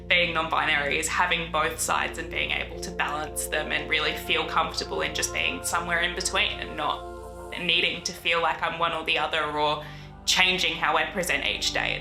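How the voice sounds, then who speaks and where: A person with a woman's voice speaking thoughtfully in a documentary interview, measured and often saying "you know". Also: low voice, vocal fry. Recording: echoey room with music behind.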